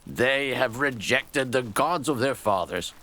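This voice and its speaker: A man voicing a gruff, scornful old Japanese soldier in a storybook tale, with emphatic, contemptuous delivery. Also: medium pitch, lively intonation, pushed and bright. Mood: vehement contempt. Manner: emphatic, contemptuous, drawn-out key words. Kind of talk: storybook character dialogue.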